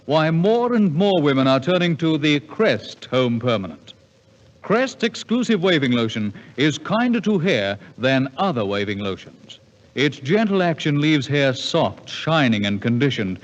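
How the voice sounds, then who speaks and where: A man reading a scripted 1950s radio advertisement in a polished, persuasive manner. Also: fairly high pitch. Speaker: radio commercial announcer. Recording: dull 1950s radio recording, long reverb.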